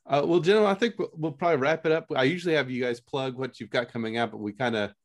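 A man's voice: medium pitch, quick pace. A man hosting a podcast interview in a casual conversational style. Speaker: podcast host.

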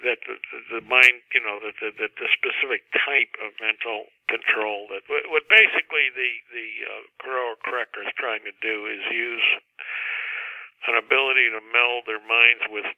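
A man, an author guest in a casual interview about writing, speaking in a halting, candid, self-deprecating way. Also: medium pitch, fairly even intonation, no room sound. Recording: muffled phone-like radio line.

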